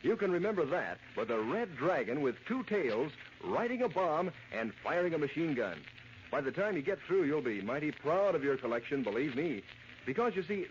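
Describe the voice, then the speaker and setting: An American man giving an enthusiastic, folksy, emphatic sales pitch in 1940s radio advertising style. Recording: muffled, noisy vintage radio recording.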